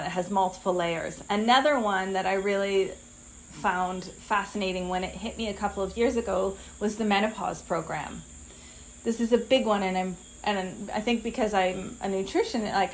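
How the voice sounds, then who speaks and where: A woman in her fifties teaching a live online masterclass, talking conversationally and turning lively when she acts out what people say. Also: mid-range voice, a little hiss or hum, low-quality recording. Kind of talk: live online class talk.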